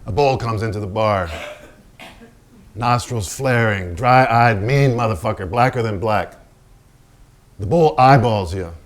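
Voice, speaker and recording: A man acting in a staged reading as a solemn narrator and interviewer, with formal, deliberate, pausing phrases. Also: lowish voice, lightly acted. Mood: ominous, dramatic. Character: measured, solemn male narrator and polite interviewer. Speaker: stage actor.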